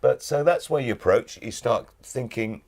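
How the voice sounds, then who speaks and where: A British man talking as an interview guest, with measured, thoughtful and emphatic phrasing. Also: mid-range voice, vocal fry, darkish tone. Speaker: guest, actor and audiobook narrator. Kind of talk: casual interview chat.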